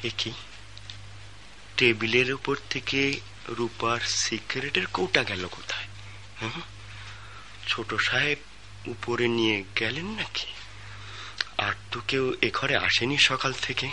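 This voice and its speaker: A house servant who worries aloud and speaks politely to his masters, acted by a man in a radio play. His voice is mid-pitched and bright, with faint background hum. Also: slow, long pauses. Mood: worried. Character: household servant, deferential and curious. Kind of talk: radio drama acting.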